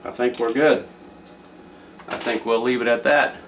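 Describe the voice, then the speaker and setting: A man's voice: mid-range voice, fairly even intonation, measured pace, a bit bright, slight background noise. A man giving a casual hands-on repair demonstration in a plain-spoken, folksy way, as the host of a repair video. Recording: distant microphone, music playing.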